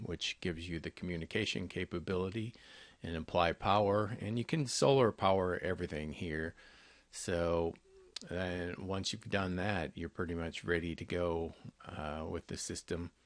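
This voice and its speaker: A man with a very low voice talks through products like a company representative. His delivery is conversational and halting, with a gravelly creak. The sound is close and dry, as in a screen-recorded walkthrough. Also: a bit bright, no echo. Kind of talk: informal narrated product walkthrough.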